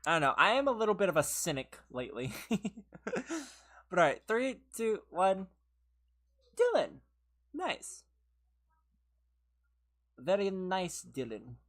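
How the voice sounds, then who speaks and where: A woman This is a low, tired voice that is starting to wear thin. She streams a game from her own room and chats with viewers, laughing often. She teases them playfully, and her pitch jumps around a lot. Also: very animated, measured pace, long pauses. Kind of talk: casual live gameplay commentary with chat.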